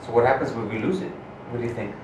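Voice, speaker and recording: A man who grew up in Hawaii hosting a podcast interview, asking questions and telling stories with lively enthusiasm. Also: mid-range voice, very fast. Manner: enthusiastic, animated storyteller. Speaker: podcast host. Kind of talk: casual interview conversation. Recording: roomy room with faint noise.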